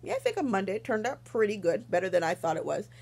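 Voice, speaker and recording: A woman's voice: medium pitch, big pitch swings, fast, gravelly, a little mellow. A young adult woman hosting a podcast, rambling casually with many ums and little laughs. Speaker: podcast host. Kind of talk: casual chat.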